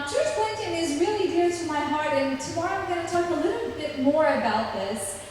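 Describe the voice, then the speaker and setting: A woman from Brazil with a Brazilian Portuguese accent, giving an earnest personal testimony with frequent pauses. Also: high-pitched, a little hiss or hum. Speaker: church plant speaker. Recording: echoey hall, distant mic.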